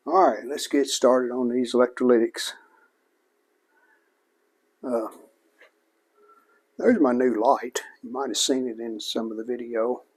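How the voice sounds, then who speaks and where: A man giving a casual repair demonstration, plainspoken and unhurried, speaking in short phrases as he works. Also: medium pitch, a little mellow, husky. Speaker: host of a vintage electronics repair channel.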